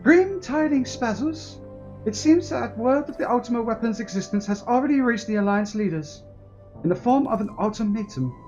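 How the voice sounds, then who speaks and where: Man putting on a high-pitched, urgent, earnest adviser. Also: animated, normal speed, a little mellow, lo-fi sound, faint music behind. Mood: grave, urgent. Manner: solemn, formal archaic phrasing. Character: earnest, urgent ally warning of grave danger, high-voiced.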